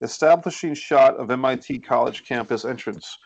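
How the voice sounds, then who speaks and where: A man's voice: medium pitch, moderate pace, creaky, husky, highs cut off. A man reading scene headings and stage directions as scripted narration for a screenplay table read over a video call. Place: indoors, group video call. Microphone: computer or headset on video call.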